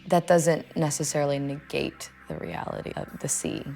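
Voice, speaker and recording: A young adult woman speaking in a thoughtful, measured way, as when reading poetry or reflecting on it. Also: deep voice, little pitch movement, vocal fry, dark tone, soft background music. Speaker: poetry reader and commentator. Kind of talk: poem recitation and personal reflection.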